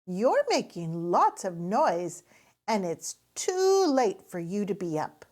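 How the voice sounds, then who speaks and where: A woman voicing a gentle storybook mother pig who soothes and tires as she tells bedtime tales. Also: mid-range voice, big pitch swings, takes it slowly. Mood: urgent, motherly.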